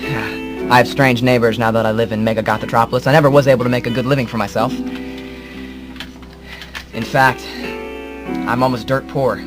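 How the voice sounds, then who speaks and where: A male actor plays a hapless would-be hero narrating a comedic radio drama. His mid-range voice is earnest and halting, drifting into rambling melodrama, with clear music behind. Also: mid-range voice, steady tone, close to an everyday voice.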